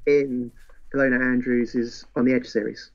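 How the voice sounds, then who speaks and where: Lo-fi dull audio, man, mid pitch, casual book chat, co-host. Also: little pitch movement, normal speed. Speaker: podcast co-host. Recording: dull, lo-fi sound.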